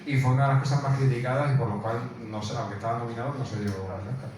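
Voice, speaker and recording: Co-presenter, male, mid-range voice, halting informal explanations. Distant mic, strong reverberation. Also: medium pitch, fairly even intonation. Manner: hesitant, searching for words, repeats himself. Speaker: film screening co-presenter. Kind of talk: informal spoken commentary on a film. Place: indoor room, presenting to an audience. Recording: very echoey room, distant mic, faint noise.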